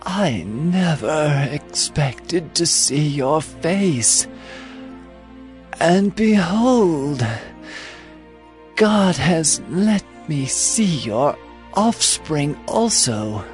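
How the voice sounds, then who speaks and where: A man playing an aged, dying patriarch in a dramatized scripture reading, solemn and halting as he speaks to his sons. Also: a little high, expressive, soft background music. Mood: tender. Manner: slow, halting, solemn delivery.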